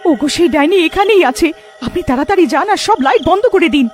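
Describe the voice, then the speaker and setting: A woman's voice: very high-pitched, fast, faint music behind, pitched above the performer's own voice. A woman voicing an anxious young village wife in a cartoon, pleading and fretful. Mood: panicked. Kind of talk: cartoon voice acting.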